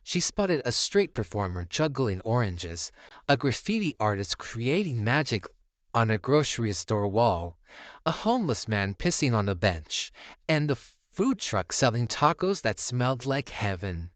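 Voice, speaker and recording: A man reading a scripted bedtime story as narrator, in a measured, theatrical manner. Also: medium pitch, sing-song, dry recording.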